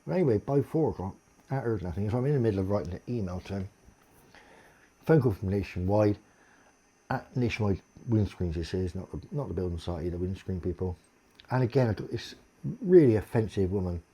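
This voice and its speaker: Deep-voiced man ranting, husky and dark. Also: expressive, fairly quick. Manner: aggrieved ranting complaint, blunt and sweary. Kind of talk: casual monologue to camera.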